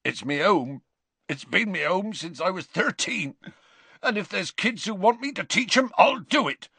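Man as a croaky, tearful, soft-hearted rustic giant. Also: high-pitched, lively intonation, normal speed, no room sound, pitched above the performer's own voice, full character voice. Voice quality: croaky, growling. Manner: rustic, dropped sounds, colloquial.

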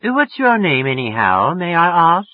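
A man's voice: on the high side, sing-song, moderate pace, husky, no echo, phone-quality sound, pitched above the performer's own voice, full character voice. A man voicing a brassy, slangy young woman in a story, with a raised, affected feminine voice. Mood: coyly wary. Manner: sharp, slangy, turning affectedly genteel. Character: brassy, irritable young working woman, formerly burlesque, turning coy.

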